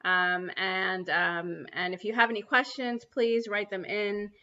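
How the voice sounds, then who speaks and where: Bright-voiced woman on a lo-fi livestream. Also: mid-range voice, flat delivery, unhurried. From New York City. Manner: earnest, many ums and restarts. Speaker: livestream host. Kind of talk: spiritual livestream talk and guided prayer. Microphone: computer mic on a livestream. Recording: dull lo-fi livestream audio.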